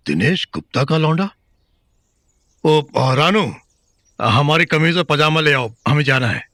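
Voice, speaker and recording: A man's voice: on the high side, big pitch swings, a little slow, pushed and bright, dry recording, studio quality, exaggerated voice. A man playing a curt, commanding husband. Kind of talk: audio drama acting.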